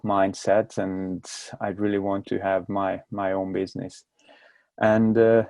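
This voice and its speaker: A man's voice: fairly low pitch, vocal fry, darkish tone, dry recording. A man in his thirties from Hungary with a Hungarian accent, speaking English as a second language and telling his story as a podcast guest, often repeating words as he searches for them. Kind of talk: casual interview talk.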